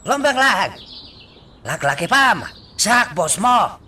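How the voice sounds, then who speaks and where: A man's voice: high voice, very animated, takes it slowly, a little hiss or hum, heavily acted. A man with an Ilocano accent playing a domineering, short-tempered, taunting crime boss in a radio drama. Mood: menacing. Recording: loud background music.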